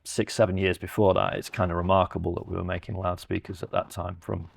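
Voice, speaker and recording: A man's voice: low-pitched, flat delivery, quick pace, vocal fry, breathy edge. A man giving a step-by-step technical explanation as a company representative presenting audio products.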